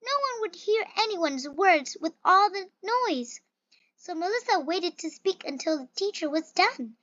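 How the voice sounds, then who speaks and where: A reader with an expressive, carefully phrased delivery, reading a children's picture book aloud. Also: very high-pitched, unhurried, no room sound, dull audio. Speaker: story reader.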